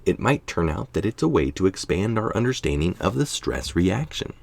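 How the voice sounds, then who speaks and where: A man's voice: low-pitched, sing-song, moderate pace, vocal fry. A tired middle-aged man reading an article aloud, informal, with casual asides. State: tired late at night. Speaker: article reader.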